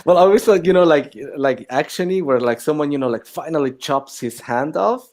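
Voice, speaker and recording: A man's voice: a little high, brisk, darkish tone. A man co-hosting a casual film chat, telling stories in a loose, rambling way peppered with filler phrases. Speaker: co-host of a film chat livestream.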